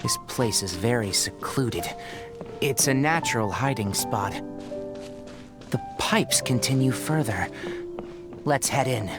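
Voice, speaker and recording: A man playing a calm, knowledgeable young scholar in a video game, explaining things in a composed, matter-of-fact way. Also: medium pitch, slow pace, long pauses, over loud music. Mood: analytical.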